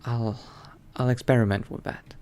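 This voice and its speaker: A man playing a thoughtful scholar who is turning into a vampire, musing aloud haltingly in an improvised roleplay monologue. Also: medium pitch, fairly even intonation, a little mellow, lightly acted. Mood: pondering.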